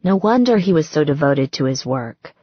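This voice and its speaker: Lively, husky woman narrating fiction. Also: low voice, normal speed, no echo, dull audio. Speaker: audiobook narrator. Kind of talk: expressive scripted fiction narration.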